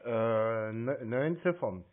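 A man's voice: medium pitch, slow pace, over a noisy crowd. A native German man on a prank phone call, rambling and crude as he plays dim. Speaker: prank caller baiting phone scammers. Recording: narrow, tinny phone line.

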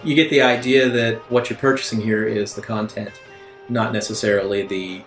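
A man narrating an improvised tabletop game as game master in a halting, conversational way. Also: fairly low pitch, fairly even intonation. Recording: echoey room, music underneath. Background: soft guitar music.

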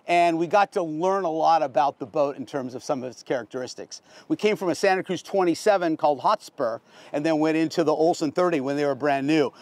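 A man's voice: on the high side, a little mellow, no echo. A middle-aged American man giving a casual, unscripted boat tour in a plain-spoken, folksy, explanatory way, like a veteran sailor.

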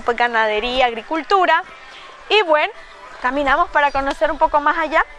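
A woman's voice: high-pitched, sharp tone. A woman in her thirties with a Salvadoran Spanish accent, hosting a casual travel vlog outdoors, chatty and enthusiastic as she talks straight to her viewers. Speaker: travel vlogger. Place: outdoors at a windy hilltop lookout restaurant. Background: wind and outdoor ambience.

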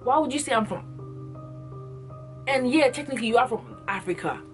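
A woman's voice: fairly high pitch, animated, normal speed, faint music behind. A young woman from Ghana, talking to the camera in an earnest, emphatic way and repeating herself for stress, recorded close up in a small room. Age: young adult. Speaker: vlogger. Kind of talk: passionate direct-to-camera monologue. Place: small indoor room, plain wall. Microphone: camera in front at close range.

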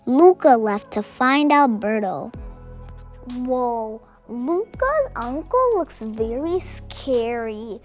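A young girl reading a picture book aloud and chatting about the pictures to her viewers. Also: on the high side. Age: child. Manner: reads text loudly, comments softly to viewers. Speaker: storybook reader.

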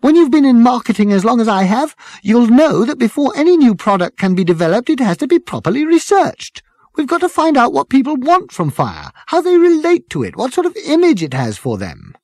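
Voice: sing-song, fairly quick, darkish tone, dry recording, studio quality. A narrator voicing a smug young female marketing executive, strident and condescending in a comic storybook reading. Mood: smug, insistent. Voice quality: strident, shrill. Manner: condescending, insistent.

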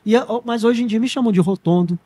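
A man's voice: high voice, brisk, warm tone, breathy edge, no room sound. A man from Minas Gerais hosting a podcast, chatty and joking as he questions his guest in casual talk. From Minas Gerais, Brazil. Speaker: podcast host. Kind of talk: casual interview chat.

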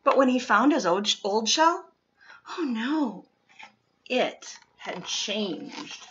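A woman reads a children's picture book aloud with expressive, deliberate dramatic emphasis, recorded in a living room. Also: on the high side, lo-fi sound, a little hiss or hum. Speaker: storytime reader.

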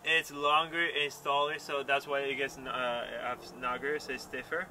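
A young man, a pro water skier, answering interview questions outdoors on a lakeside dock, halting and searching for words. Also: mid-range voice, flat delivery, background noise. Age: young adult. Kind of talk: casual interview.

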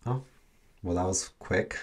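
A man's voice: sits low, unhurried. A young man giving casual reaction commentary as the host of a video.

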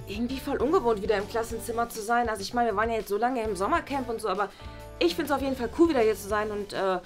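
A woman's voice: fairly high pitch, racing, faint music behind, close to an everyday voice. A woman playing a chatty, studious schoolgirl who rambles with lots of fillers. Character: chatty studious schoolgirl, a bit know-it-all. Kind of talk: scripted web series acting.

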